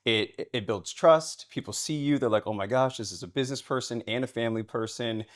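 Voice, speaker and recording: Man, podcast host, mid-range voice, encouraging, casual chat. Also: mid-range voice. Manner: encouraging, quick affirmations.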